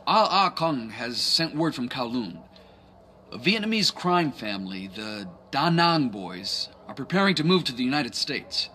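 A man's voice: mid-range voice, big pitch swings, measured pace, sharp tone, a little hiss or hum. A man playing a courteous, earnest Chinese crime boss in a video game cutscene, with formal, polite wording. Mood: courteous, serious.

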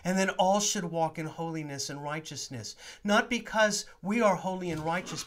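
A man's voice: on the high side, fairly bright tone. A man in his forties, a priest giving an earnest, emphatic sermon-style teaching to a webcam in his home study. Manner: earnest, emphatic preaching with rising stresses.

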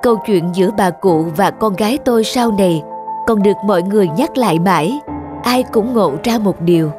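A woman's voice: medium pitch, brisk, over music, lightly acted. A woman playing a mother who narrates a moral cartoon tale in a reflective, scripted style.

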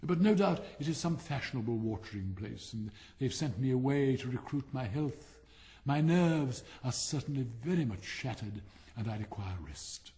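A man's voice: medium pitch, lively intonation, normal speed, warm tone, natural voice. Casting: pompous vain firework rocket, voiced by a man in a storybook reading. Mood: complaining. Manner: haughty, self-important, affected dignity.

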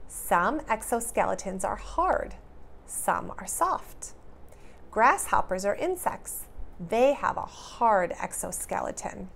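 A woman's voice: mid-range voice, vocal fry. A woman preschool teacher giving a read-aloud lesson for young children, with careful, simple, questioning delivery.

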